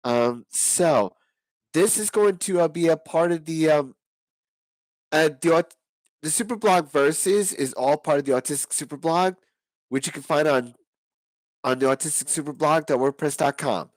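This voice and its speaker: A hoarse man giving casual, rambling wrestling predictions on his own channel, with many restarts and fillers. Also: a little high, normal speed, no room sound, rough recording. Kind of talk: casual solo predictions talk.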